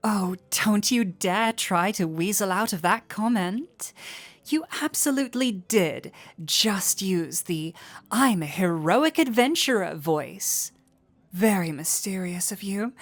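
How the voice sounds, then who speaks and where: A woman's voice: mid-range voice, animated, takes it slowly. A woman playing a flirty, teasing tavern innkeeper in an intimate fantasy audio roleplay, with a coy, playful manner.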